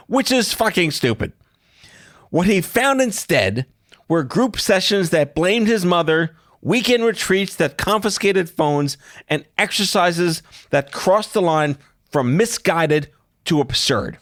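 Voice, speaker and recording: A man's voice: on the high side, a bit bright, no room sound, clean studio sound. A middle-aged man hosting a podcast interview, talking in a blunt, wry and casually profane way. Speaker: podcast host.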